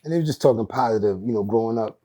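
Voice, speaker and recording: A man's voice: mid-range voice, very fast, mellow. A man, a guest on a podcast, telling his story in a laid-back, slangy, rambling way. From Brooklyn, New York. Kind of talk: casual interview storytelling.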